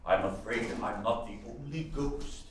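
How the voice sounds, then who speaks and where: A man acting in a radio play as the doomed, solemn ghost of a dead soldier turned businessman, with a drawn-out theatrical delivery. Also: mid-range voice, natural voice. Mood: anguished, solemn. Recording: echoey, distant stage recording with noise.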